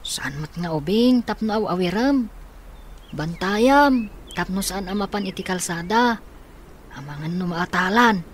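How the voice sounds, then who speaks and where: A woman's voice: mid-range voice, animated, measured pace, long pauses, a little hiss or hum, natural voice. A woman playing a responsible young boy, a protective older brother, in a radio drama, speaking earnestly. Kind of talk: Ilocano radio drama acting.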